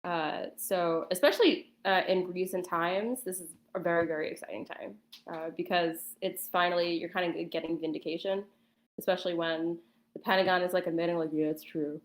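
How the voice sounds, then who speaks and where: A woman's voice: on the low side, steady tone, brisk. A woman guest chatting casually in an interview, thinking aloud a little hesitantly, with plenty of "like" along the way. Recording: echoey, roomy sound.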